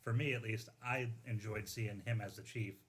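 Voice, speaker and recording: A man's voice: lowish voice, fairly even intonation, moderate pace, fairly bright tone. A man telling an anecdote in casual, informal talk, peppered with "like" and quoted dialogue.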